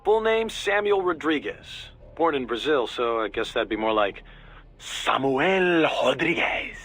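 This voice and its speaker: An actor playing an easygoing, knowledgeable mission support adviser in a video game, giving a casual, explanatory briefing in a husky voice. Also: male voice, medium pitch, sing-song, measured pace, fairly bright tone, soft background music. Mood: informative, relaxed. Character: easygoing male military intelligence support adviser, knowledgeable, informal.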